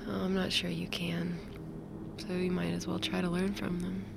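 An actress playing a knowing, consoling woman who speaks in a hushed, creaky near whisper. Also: on the low side, monotone, moderate pace, noisy background. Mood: quiet, intimate. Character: soft-spoken, knowing woman consoling someone.

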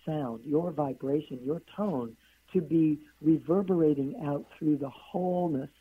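A hoarse woman, a guest author on a radio interview, who answers in long flowing runs of stacked phrases. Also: low voice, a little slow. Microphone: phone call. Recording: tinny phone line.

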